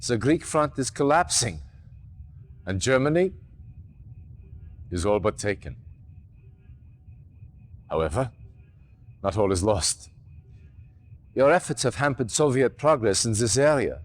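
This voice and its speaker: An actor playing a grave, commanding older army general, speaking formally and deliberately in a video game cutscene. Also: male voice, medium pitch, big pitch swings. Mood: somber, determined. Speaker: military commander. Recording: noisy old game video audio.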